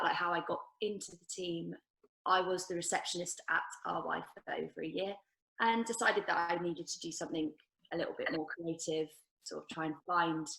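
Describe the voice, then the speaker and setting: A young woman talks about her work in a casual, unhurried way, dotted with ums. Her voice is fairly low and stays flat in pitch. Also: vocal fry, poor audio. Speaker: production assistant, panelist. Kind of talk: career panel talk.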